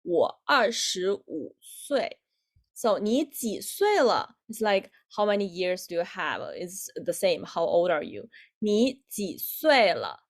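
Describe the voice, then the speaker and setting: Young chinese-accented woman teaching a language class online, takes it slow, kinda halting, repeats stuff, mid pitch. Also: big pitch swings. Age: 20s. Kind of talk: online lesson, explaining with examples.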